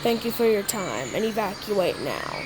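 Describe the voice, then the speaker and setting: A woman improvising a mock emergency broadcast announcement in a halting way, often stumbling and repeating words. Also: mid-range voice, very animated. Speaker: announcer of a fictional emergency alert. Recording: noisy homemade recording, birdsong behind.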